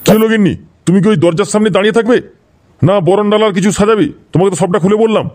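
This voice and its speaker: A man's voice: high-pitched, steady tone, rapid-fire, darkish tone, soft background music, lightly acted. A man voicing a flustered, pleading old village grandfather in a comedy cartoon. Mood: cajoling. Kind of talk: Bengali comedy cartoon dialogue.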